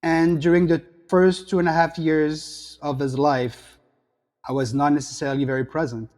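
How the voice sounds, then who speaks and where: A man's voice: a little high, little pitch movement, moderate pace, a little mellow, big-room sound. A middle-aged man from the south of France with a French accent, speaking reflectively as an interview guest over a video call. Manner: reflective, gesturing hands while talking. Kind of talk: interview conversation. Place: home room.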